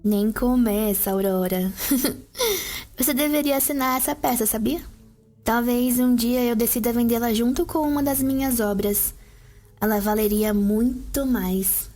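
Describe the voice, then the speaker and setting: A playful, smug vampire sister voiced by a woman with a fairly high voice. She teases and mocks in an ASMR roleplay drama, with faint music underneath. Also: measured pace, mellow, natural voice. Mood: teasing. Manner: flirtatious teasing, mocking, playful. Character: teasing, vain vampire sister and painter, playful. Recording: music behind the voice.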